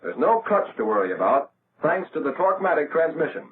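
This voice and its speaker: An American man narrating a 1940s military training film in a measured, instructional way, as a scripted voice-over. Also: fairly high pitch, very animated. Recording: tinny, band-limited, noisy old film soundtrack.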